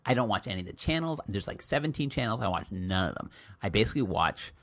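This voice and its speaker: A man hosting a podcast in casual chat, talking loud and close to the mic with a booming voice and teasing asides. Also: animated, dry recording. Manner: chatty and teasing, quick asides. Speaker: podcast host. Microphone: close podcast mic, pops.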